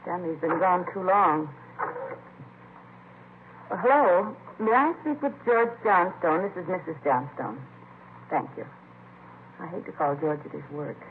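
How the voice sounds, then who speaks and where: A woman's voice: lowish voice, lively intonation, a little slow, long pauses. A woman acting an anxious, polite mother and housewife in a vintage radio drama. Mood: anxious, apologetic. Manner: worried, earnest, polite. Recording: tinny vintage radio recording, faint noise.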